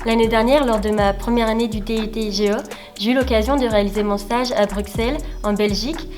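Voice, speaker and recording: A woman's voice: a little high, fairly even intonation, quick pace. Young French woman student, light music. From France. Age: young adult. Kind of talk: student project presentation. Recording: faint music behind.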